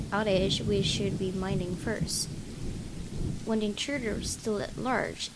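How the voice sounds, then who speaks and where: A woman hosting a gaming video, reading visual novel narration aloud in an expressive storytelling way. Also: mid-range voice. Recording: clear background noise.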